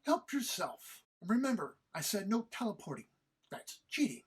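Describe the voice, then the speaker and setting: Immortal mentor, played by a woman for horror-comedy audio drama: husky, expressive, menacing, deliberate, scornful lecturer. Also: medium pitch. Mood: stern, unsympathetic. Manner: stern, taunting, deliberate.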